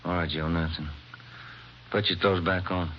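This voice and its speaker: An actor as a dry, brisk detective. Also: male voice, sits low, fairly even intonation, slight background noise, close to an everyday voice. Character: steady, wry male detective partner. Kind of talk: 1950s radio drama acting. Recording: dull lo-fi old radio broadcast with music.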